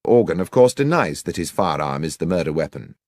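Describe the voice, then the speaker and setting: A man's voice: sits low, very animated, fairly quick, a little mellow, no room sound. A man voicing a brilliant, commanding detective in an audiobook, with a British accent and precise, formal, authoritative manner. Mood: probing, precise. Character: brilliant, commanding male detective, brisk and grave.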